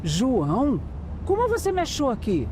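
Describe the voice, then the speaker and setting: A woman playing a shrewd, seasoned Brazilian investigator in an audio drama, serious and measured. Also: high-pitched, huge pitch swings, in a large hall, noisy background. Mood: tense, alert. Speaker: lead actor and first-person narrator. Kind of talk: audio drama acting and scripted narration.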